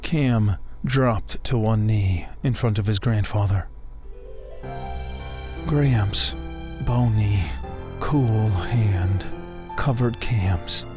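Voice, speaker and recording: A man's voice: fairly low pitch, drawn-out words, over music. A man delivering scripted narration and dialogue in a dramatized audiobook.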